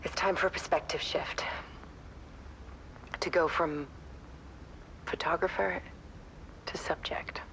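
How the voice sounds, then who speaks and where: A creaky, whispering, haunted photographer. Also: female voice, lowish voice, fairly even intonation, poor audio. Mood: grim resolve. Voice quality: breathy whisper with creaky, sharp edge. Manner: hushed, weary, halting. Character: exhausted, haunted woman photographer in despair. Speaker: actor in a live-action game cutscene. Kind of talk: confessional monologue, horror game live-action acting.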